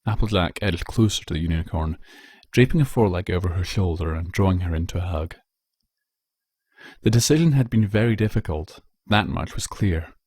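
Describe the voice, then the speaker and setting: A man reads a story as its narrator. His voice sits on the low side and moves expressively with the prose. The recording is dry, with no room sound. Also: expressive, a little fast, darkish tone, husky, no room sound. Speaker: narrator reading fan fiction.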